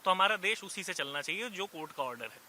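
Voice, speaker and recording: Sharp, quick, high-voiced man. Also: expressive, a little hiss or hum. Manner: emphatic, insistent, English legal terms mixed in. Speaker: party representative on debate panel. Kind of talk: argumentative political debate.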